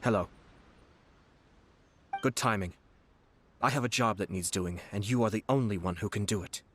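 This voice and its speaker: An actor playing a refined young nobleman in a video game, courteous, composed and slyly teasing, with formal, measured speech. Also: on the low side, a little hiss or hum, natural voice. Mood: playful, teasing. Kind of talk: scripted game character voice lines. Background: background music.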